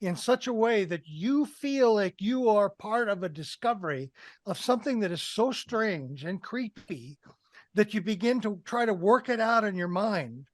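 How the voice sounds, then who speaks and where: A man's voice: high-pitched, expressive, normal speed, no room sound. A man in his sixties on a headset mic, casually analysing a film as a panelist in an online discussion. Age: 60s. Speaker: film review podcast panelist. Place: indoors, on a video call.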